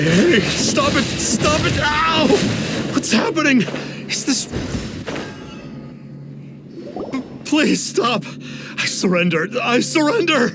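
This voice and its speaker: A man's voice: extremely high pitch, exaggerated voice. An actor playing a pompous, cowardly male fraud posing as an immortal sage in a video game, with theatrical, stilted formal speech. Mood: panicked.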